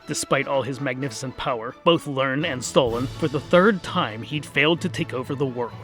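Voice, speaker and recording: A man's voice: medium pitch, normal speed, a bit bright, soft background music. A man giving a scripted comic fantasy narration as a storyteller, with a dry, wry theatrical delivery.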